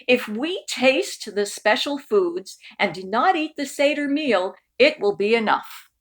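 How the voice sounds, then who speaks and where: Woman reading as cheerful, emphatic storybook uncle, slowly drawn out. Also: fairly high pitch, expressive. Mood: cheerful, agreeable. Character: Uncle Murray, cheerful agreeable older man hosting family Seder.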